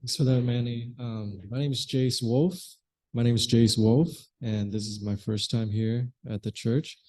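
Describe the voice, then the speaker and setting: A man from Vietnam introducing himself at a church, earnest and pausing with fillers. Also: mid-range voice, steady tone, darkish tone. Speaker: first-time church visitor. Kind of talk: informal self-introduction.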